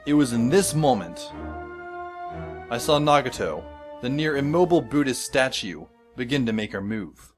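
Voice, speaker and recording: A wry, observant schoolboy played by a man tells his story in an audio drama. His narration is scripted, wordy and descriptive, at a middling pitch, with music clearly playing behind it. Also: lively intonation, moderate pace, close to an everyday voice. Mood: wry, intent. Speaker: first-person narrator.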